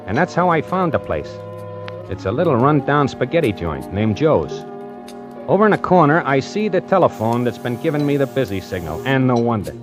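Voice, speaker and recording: An actor playing a brash, jumpy small-time hustler who narrates his own story in wry, colloquial 1940s radio suspense style. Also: a little high. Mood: wry, probing. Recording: vintage radio broadcast with music.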